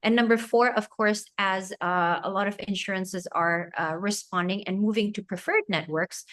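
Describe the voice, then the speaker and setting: A female company executive takes questions on an earnings webinar. Her voice sits at a middle pitch, and she works through her answers methodically, point by point. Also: fairly bright tone.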